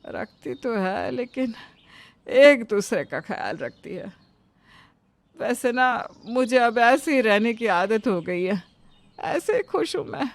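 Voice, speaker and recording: A woman's voice: fairly high pitch, lively intonation, slow, no echo. A woman voicing an ailing, self-pitying mother-in-law in a cartoon story, complaining like a martyr.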